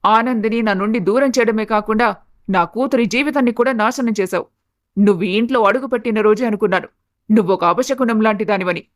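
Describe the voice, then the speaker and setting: A woman playing a bitter, status-obsessed middle-aged mother-in-law in an animated family story, indignant, sarcastic and scolding. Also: on the high side, a little fast, close to an everyday voice. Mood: bitter, outraged.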